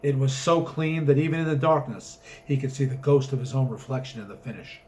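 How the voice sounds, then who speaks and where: A man's voice: mid-range voice, brisk, darkish tone, slight background noise. A man reading a story aloud as its author, narrating with theatrical, emphatic comic drama.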